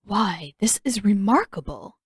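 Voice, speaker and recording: A woman's voice: medium pitch, lively intonation, unhurried, breathy edge, no echo, studio quality. A woman voicing an older female fortune teller who speaks in grand, florid, disdainful pronouncements. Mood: mystical awe. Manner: lofty, florid, solemn pronouncements.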